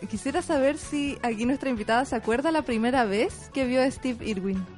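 Bright high woman host, joking interjections, faint music bed. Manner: playful, quick quips and questions. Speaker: podcast host. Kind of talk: casual podcast chat.

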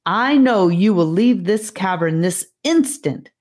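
A woman voicing a confident, fearless little girl in a fantasy audiobook, speaking with calm certainty. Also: medium pitch, slow. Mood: firm, confident. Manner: firm, certain, turning soft and kindly.

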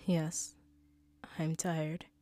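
A woman acts out a female mafia boss in an intimate audio roleplay, with music behind her. Her voice sits fairly low and is very warm and mellow. She is in command, yet teases her girlfriend and dotes on her with pet names. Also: level tone, very slow. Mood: tired, tender. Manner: assured, teasing, affectionate, pet names.

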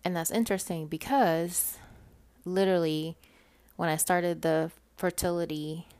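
A woman's voice: low voice, gravelly, no echo. A woman with a Puerto Rican accent in her thirties, candidly chatting through a personal podcast monologue and laughing often. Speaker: podcast host.

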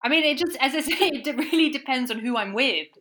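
A woman's voice: sits high, expressive, fast, fairly bright tone. A woman guest in a casual interview, talking thoughtfully with many restarts. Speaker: language course provider, guest.

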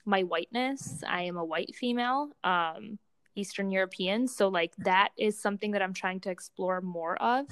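A woman's voice: medium pitch, normal speed, fairly bright tone, clear, resonant tone, no room sound. A young adult woman from Romania co-hosting a casual, reflective podcast conversation, hesitating as she searches for words. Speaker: podcast co-host, doctoral student in psychology.

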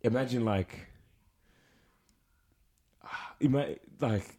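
A man's voice: medium pitch, very slow, a little mellow. A young man in a casual chat, laid-back, laughing often and restarting his sentences.

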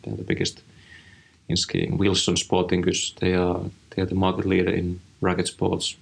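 A man's voice: low voice, completely flat delivery, normal speed, gravelly, husky. A man in his forties with a Finnish accent, speaking as an interview guest in a rambling, casual way with many restarts. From Finland. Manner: rambling, frequent restarts and fillers. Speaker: interview guest, design executive.

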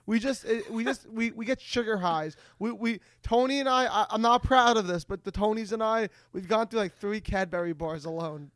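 A man's voice: high voice, moderate pace, few pauses, a bit bright, no room sound. A man hosting a casual, comedic podcast chat, stammering and repeating his phrases as he goes. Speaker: podcast host.

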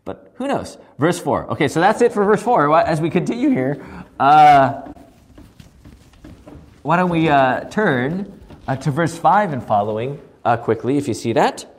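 Guy teaching a bible study, voice kinda shot and hoarse, pitch jumps all over. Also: measured pace. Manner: animated, asks questions and answers them himself. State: losing his voice, hoarse.

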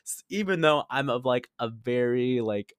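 A man's voice: mid-range voice, lively intonation, normal speed, smooth, no room sound, studio quality. A man in his twenties telling personal stories in a casual, confessional, chatty way, very informal, with lots of "like" and some swearing. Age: 20s. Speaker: podcast host.

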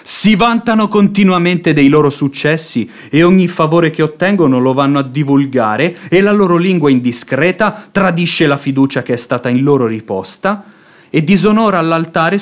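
A man's voice: on the high side, close to an everyday voice. An actor playing a fawning, impassioned religious hypocrite in a radio drama, declaiming his pleas in florid, unctuous phrases. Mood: insinuating. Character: pious hypocrite seducer, fawning, impassioned, silver-tongued man. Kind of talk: theatrical radio drama acting.

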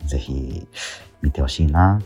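A man's voice: rumbling low, over music. A man hosting a radio show, chatting casually and searching for his words as he goes. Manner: halting, searching for words, repeating himself. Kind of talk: casual promotional chat about a stage play.

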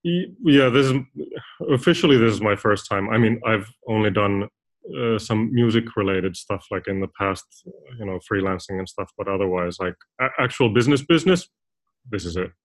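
A young man from Finland, a consultant who talks as a podcast guest in a halting, rambling way with many restarts. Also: on the low side, rough recording. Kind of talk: casual interview talk.

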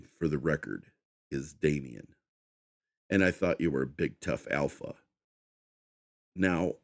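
An actor reading the lines of a huge, sneering kidnapper in a slow, cold delivery. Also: male voice, very deep voice, gravelly, no echo, heavily acted. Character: huge menacing male kidnapper.

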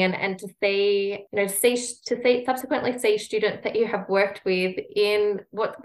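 A woman giving a casual, personal interview answer in a hedging, conversational way. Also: mid-range voice. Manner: hedging, conversational, frequent "you know". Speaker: guest, university equity practitioner. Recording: roomy, echoing sound.

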